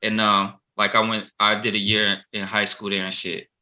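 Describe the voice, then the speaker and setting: A man's voice: fairly low pitch, monotone, normal speed, bright, forceful tone. A man in his thirties telling stories in a laid-back casual chat, swearing freely. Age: 30s. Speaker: host.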